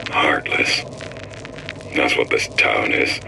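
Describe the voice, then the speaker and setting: A man's voice: rumbling low, fairly even intonation, slow, gravelly, in a whisper, full character voice, low-quality recording. An actor playing a bitter, abandoned male worker pleading and ranting in short bursts for a game audio diary. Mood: desperate, bitter. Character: desperate, bitter trapped male worker, foul-mouthed and abandoned.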